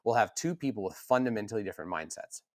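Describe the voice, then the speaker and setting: A man with a fairly low voice talks through ideas in a casual podcast chat, earnest and animated, often doubling back on his words. The sound is dry and close, with no room around it. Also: quick pace, dry recording. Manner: earnest, animated, frequent restarts and repeated words. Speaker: podcast co-host.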